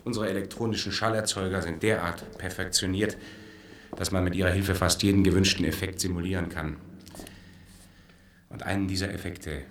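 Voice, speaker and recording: An actor playing an erudite, keen male experimenter with sound in a science-fiction radio play, speaking in a deliberate, precise, lecturing way. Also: low-pitched, fairly even intonation, roomy sound. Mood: calmly instructing.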